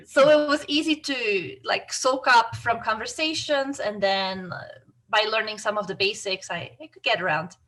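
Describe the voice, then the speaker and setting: A woman's voice: on the high side, a little slow, fairly bright tone. A woman from northern Belgium with a Flemish accent and a native Dutch tongue, chatting as a guest in a casual interview in a friendly, chatty way. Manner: friendly, chatty, with light laughs and fillers. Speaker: guest, civil engineering professor.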